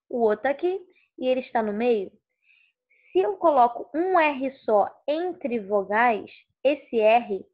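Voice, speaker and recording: A woman teaching a lesson on letters and sounds, explaining step by step and often checking with "ok?". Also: on the high side. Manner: slow, explanatory, checks understanding with "ok?". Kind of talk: recorded classroom lesson. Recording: tinny phone-like sound.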